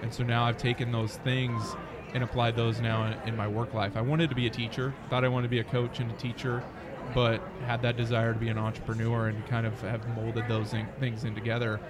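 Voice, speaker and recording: A man's voice: medium pitch, completely flat delivery, fairly quick, hardly any pauses. An American man speaking conversationally as a startup founder being interviewed. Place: busy conference venue near an expo hall. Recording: busy crowd chatter behind the voice.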